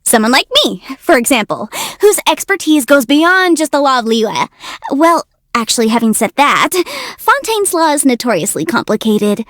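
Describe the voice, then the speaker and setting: A woman's voice: sits high, sing-song, normal speed, a bit bright, no echo, clean studio sound. A woman voicing a cute, confident young female legal adviser in a video game, youthful and articulate with a theatrical, self-assured delivery. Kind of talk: video game character voice lines.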